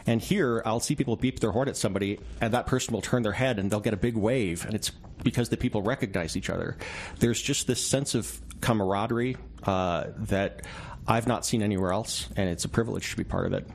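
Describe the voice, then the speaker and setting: A man with a fairly low voice talks as an interview guest, a reporter by trade. He is reflective and conversational, illustrating points with little examples. There is clear background noise around his voice. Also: fairly quick. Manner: reflective, explains through small anecdotes and quoted examples.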